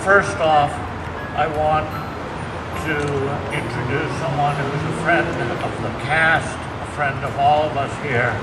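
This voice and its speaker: A man's voice: on the high side, fairly even intonation, takes it slowly. A man hosting a live event, giving an informal welcome and introduction to an audience. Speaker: host introducing a performance. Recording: roomy distant recording with loud background noise.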